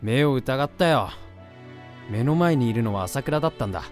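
A man's voice: mid-range voice, expressive, normal speed, faint music behind, close to an everyday voice. A young man playing an awkward, self-deprecating, lovesick university student in a voice drama. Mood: wistful, earnest. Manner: hesitant, earnest, blunt. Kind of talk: Japanese voice drama acting with narration.

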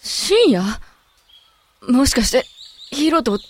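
A woman's voice: sits high, sing-song, slow pace, a little hiss or hum, exaggerated voice. A woman playing a proud, resolute werewolf woman who speaks bluntly and coolly in a Japanese amateur voice drama. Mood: curt. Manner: blunt, masculine phrasing, cool.

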